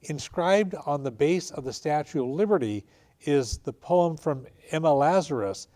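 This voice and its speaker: A man hosting an interview program, introducing his guests and asking questions in a measured way. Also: a little high, animated, mellow. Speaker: radio show host.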